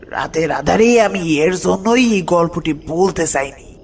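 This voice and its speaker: Guy acting old scared village boatman telling a ghost story, kinda high creaky voice, dramatic and hesitant. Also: animated, unhurried, slight background noise. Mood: subdued. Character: frightened village boatman uncle recounting his haunted past. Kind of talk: horror cartoon story narration. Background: music.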